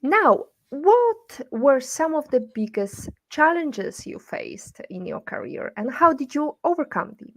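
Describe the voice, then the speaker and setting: A woman's voice: high voice, big pitch swings, unhurried, few pauses, fairly bright tone. A woman hosting an interview, asking questions hesitantly with many fillers. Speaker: host.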